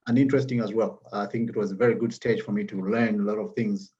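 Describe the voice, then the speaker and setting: A man with a southern African accent explaining his work as an interview guest, in measured, hesitant phrases. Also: fairly low pitch, steady tone, mellow, lo-fi sound. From Zambia and Malawi. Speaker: interview guest, magazine founder.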